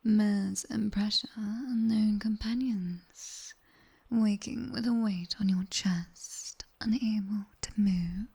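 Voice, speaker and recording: A British woman playing a sharp-tongued, teasing nightmare demon tutor in an ASMR roleplay, whispering breathily and intimately close to a binaural mic. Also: mid-range voice, fairly even intonation, husky, exaggerated voice. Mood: soothing, instructive. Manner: slow, intimate, teasing, commanding. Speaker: ASMR performer. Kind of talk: whispered ASMR roleplay, guided body scan. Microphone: close binaural ear microphone.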